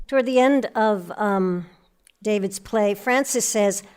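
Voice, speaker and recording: A woman's voice: medium pitch, a little slow, darkish tone, clean tone. A woman host and interviewer, reading credits and putting prepared questions to guests. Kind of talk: scripted credits and interview hosting.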